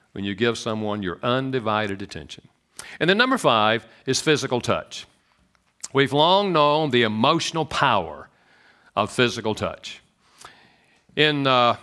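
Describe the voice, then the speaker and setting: A man with a Southern US accent giving an animated, joking church talk on marriage to an audience. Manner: animated and joking, swoops in pitch. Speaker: speaker on marriage.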